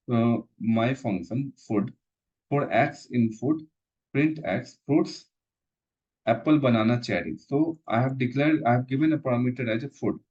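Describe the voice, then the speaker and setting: A man walks through code in a lesson, halting and repeating himself with frequent fillers. His voice sits at an ordinary middle pitch. The sound is slightly dull and lo-fi, like a screen recording. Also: a little mellow. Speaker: instructor. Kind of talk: live coding lesson walkthrough.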